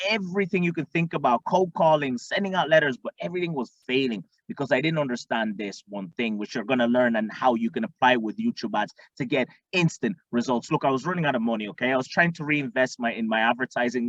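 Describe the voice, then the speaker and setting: A man from Tanzania, a marketing coach, teaching in an energetic way and often checking in with the listener. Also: medium pitch, no room sound. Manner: energetic, repeats "okay?" often. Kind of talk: instructional screen-share talk. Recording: dull lo-fi dry sound.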